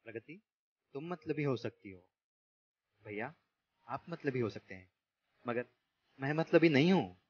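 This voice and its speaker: A man playing Dhairya, a gentle, husky-voiced young man. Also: medium pitch, expressive, a little slow, pauses often. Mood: firm. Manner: earnest, regretful, apologetic. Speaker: husband of a blind professor. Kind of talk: amateur radio play acting. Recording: muffled lo-fi recording.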